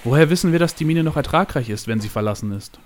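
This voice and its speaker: A man voicing a greedy, bombastic trading company boss in an audiobook, pompous and forceful in manner. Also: on the high side, lively intonation, fast talker, a little hiss or hum, voice pushed up, natural voice. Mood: eager, demanding. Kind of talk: dramatic audiobook storytelling.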